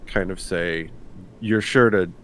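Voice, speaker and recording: Slow, low-voiced male roleplayer. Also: fairly bright tone. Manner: deliberate, halting. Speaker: tabletop game player. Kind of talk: describing his character's actions. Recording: noisy room recording.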